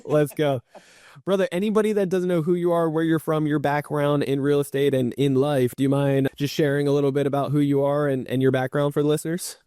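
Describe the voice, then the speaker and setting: A man's voice: on the high side, flat delivery, brisk, warm tone, clean tone, no echo, clean studio sound. A man hosting a podcast in a casual, upbeat, encouraging interview style. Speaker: podcast host. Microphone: remote virtual call recording.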